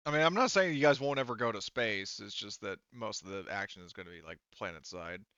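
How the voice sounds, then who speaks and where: Low, clean-voiced male game master, slightly muffled. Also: quick pace, sharp tone, smooth, no room sound. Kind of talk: casual tabletop game discussion.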